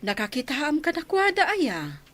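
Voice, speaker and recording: A woman playing a gentle, consoling mother in a radio drama. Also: high voice, wildly swooping pitch, measured pace, full character voice.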